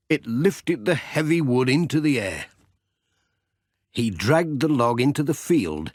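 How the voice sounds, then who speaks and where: A man reads a children's audiobook unhurriedly. His storytelling is highly animated and expressive, recorded dry and close. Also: no room sound. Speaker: audiobook narrator.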